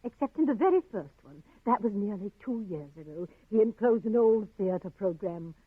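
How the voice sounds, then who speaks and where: An actress playing a fluttery, wistful older former stage actress in a theatrical 1950s radio drama. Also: female voice, mid-range voice, expressive, moderate pace, husky, no echo. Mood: wistful delight. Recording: muffled, narrow-band 1950s radio recording.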